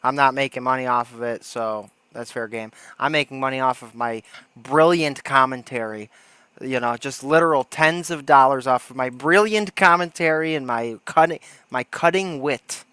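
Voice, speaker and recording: Bright-voiced, joking male livestreamer. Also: mid-range voice, normal speed, clean tone, no echo. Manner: joking, sarcastic. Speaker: livestream host. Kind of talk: casual livestream commentary.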